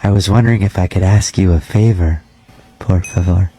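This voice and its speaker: A man playing a soft-spoken, creepily friendly night janitor who talks with polite, unsettling earnestness on a prank call. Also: low-pitched, moderate pace, soft background music, pitched below the performer's own voice, natural voice. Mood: soft, oddly polite.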